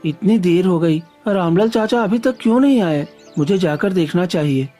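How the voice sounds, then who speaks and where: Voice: mid-range voice, expressive, normal speed, dark tone, faint music behind, natural voice. A performer playing an honest, kind-hearted young village man in a Hindi moral story, speaking earnestly and devoutly. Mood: worried.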